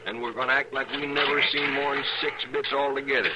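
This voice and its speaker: A man's voice: medium pitch, steady tone, fast, natural voice. An actor playing a scheming male outlaw in a 1950s radio western, with a drawling twang. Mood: bossy. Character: scheming male outlaw burying stolen gold. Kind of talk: 1950s radio drama acting. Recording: loud hiss of old radio transcription.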